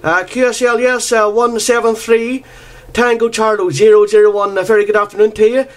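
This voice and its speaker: High-pitched Northern Irish radio operator man. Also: flat delivery. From Northern Ireland. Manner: courteous, deliberate, repeats key phrases. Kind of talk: radio contact exchange with call signs and phonetic spelling.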